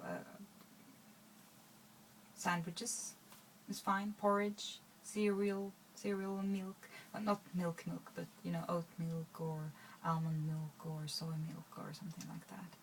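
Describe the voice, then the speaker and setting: A woman's voice: on the low side, a little hiss or hum, poor audio. A woman, talking to her viewers in a rambling, hesitant way with many fillers and restarts. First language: Swedish. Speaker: vlogger. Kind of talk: casual talk to camera.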